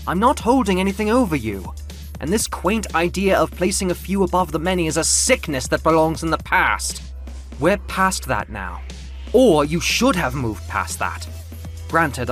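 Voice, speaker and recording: A proud winged muse, acted out by a man for an audio comic book, declaims in a high, sweeping voice. He is indignant and grandiose, pitching his speeches like an impassioned orator, with music behind him. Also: fairly bright tone. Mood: scornful.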